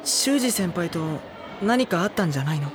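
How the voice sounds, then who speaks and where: An actor playing a gentle, worrying high-school boy in a Japanese audio drama, soft-spoken and polite. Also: lively intonation, moderate pace, crowd noise, noisy background. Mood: concerned. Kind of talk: Japanese audio drama acting with introspective narration.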